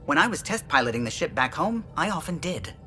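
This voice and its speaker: A man's voice: on the high side, very animated, brisk, bright, forceful tone. An actor playing a male alien starship pilot in a video game, chatty, precise and fond of reminiscing. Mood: fond, proud. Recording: music behind the voice.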